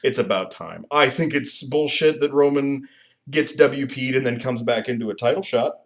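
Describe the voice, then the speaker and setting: A man co-hosting a podcast in casual chat, animated and profane, laughing often. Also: mid-range voice, normal speed. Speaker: podcast co-host.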